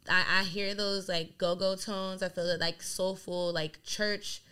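Bright-voiced young woman DJ chatting. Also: mid-range voice, unhurried, clear, resonant tone. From Delaware. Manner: rambling, heavy use of "like", animated. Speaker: DJ and guest. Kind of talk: casual interview chat.

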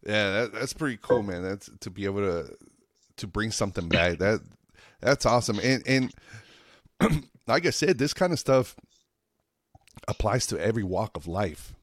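Male podcast comic, low register, dry sound; slangy, sweary, earnest storyteller with a loose rambling flow. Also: animated, normal speed, pauses often, dry recording. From United States, Lake Tahoe and Reno area of Nevada. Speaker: stand-up comedian. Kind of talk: casual podcast chat, anecdotal.

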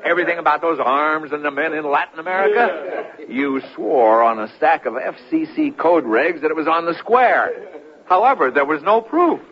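A man acting in a radio drama as a loud, skeptical club leader who teases with theatrical disbelief. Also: a little high, lively intonation, moderate pace, close to an everyday voice. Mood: mocking disbelief. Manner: teasing, incredulous, theatrical. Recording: muffled radio recording, crowd behind.

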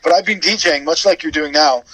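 Man, fairly high voice, dry sound, casual chatty radio guest, storyteller. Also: fast, fairly bright tone, no echo, poor audio. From born in Wales, grew up in Canada.

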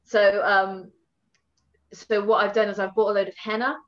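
A woman's voice: medium pitch, level tone, normal speed, a bit bright. A woman tattoo artist tells stories in a casual, sweary chat, laughing as she goes. Her mid-range voice comes through a slightly dull, muffled recording.

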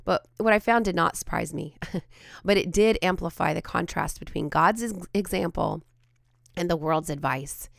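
A woman hosts a devotional podcast in a fairly low, gravelly voice, hoarse and raspy from a cold. She talks in an earnest, reflective way, often pausing with ums and restarting her sentences. The sound is dry and close to the mic. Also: a bit bright, no echo. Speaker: podcast host and Bible teacher.

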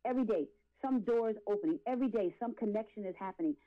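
A woman's voice: mid-range voice, lively intonation, fast talker, narrow, tinny audio. A woman in her late forties giving testimony as an interview guest, speaking in an impassioned, preachy, emphatic way with repeated phrases. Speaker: guest, R&B and gospel singer.

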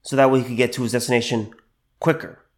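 A mid-pitched man talks through a solo podcast, sounding a little under the weather from allergies. He stops and restarts often, repeating his phrases and filling gaps with ums and uhs. When he wants to drive a point home, he slows right down. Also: steady tone. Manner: halting, repeats phrases, many fillers. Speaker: podcast host.

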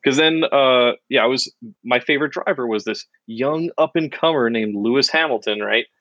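A man's voice: medium pitch, normal speed, fairly bright tone, no room sound, dull audio. A middle-aged American man co-hosting a podcast, telling stories in a rambling, self-mocking casual chat. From United States. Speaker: podcast co-host.

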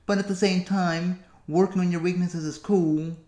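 A man from the United States hosting a solo podcast, musing to himself with long pauses. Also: sits high, fairly even intonation, a little mellow, echoey room. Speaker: podcast host. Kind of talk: solo reflective podcast monologue.

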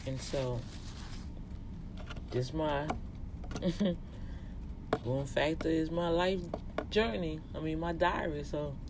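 Louisiana-accented host, unhurried, casual teaching-style monologue. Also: mid-range voice, background noise. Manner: talks slowly and deliberately on purpose, in a teaching tone. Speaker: podcast host and tax office manager.

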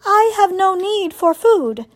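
Woman as a polite, high-voiced female storybook robot. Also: big pitch swings, exaggerated voice. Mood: calm. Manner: measured, formal, literal.

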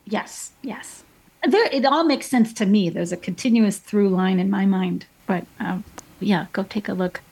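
A woman's voice: mid-range voice, unhurried, gravelly, a little mellow, slightly rough, poor audio. A woman painter being interviewed on the radio, friendly and a bit hesitant, with light humor. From Chicago. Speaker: guest painter.

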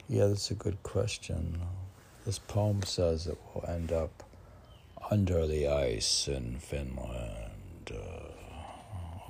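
A man reading his own poetry on an informal podcast, rambling and talking to himself in a halting, musing way, often clearing his throat. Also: deep voice, dark tone, slight background noise. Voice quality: gravelly, husky.

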